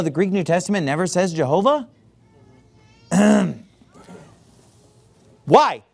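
A middle-aged man preaching a sermon in a church, emphatic and sarcastic, with sudden shouted words. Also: high voice, creaky. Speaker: pastor.

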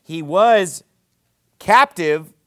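Male pastor, high-pitched, emphatic sermon delivery in church, sarcastic asides. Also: very slow. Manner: emphatic preaching, swinging between loud peaks and low drops.

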